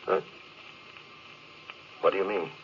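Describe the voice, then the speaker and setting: An actor playing a proud, ambitious, emotionally rigid space officer in a 1950s radio drama, with a self-important, dismissive manner. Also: male voice, takes it slowly, lightly acted. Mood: defensive. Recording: dull, faintly noisy vintage radio recording.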